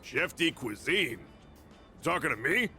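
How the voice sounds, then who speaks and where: An actor playing a gruff, blunt working-class ramen cook in a video game, talking informally. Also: male voice, fairly high pitch, very animated, measured pace, bright, forceful tone, soft background music, heavily acted. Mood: gruff, baffled.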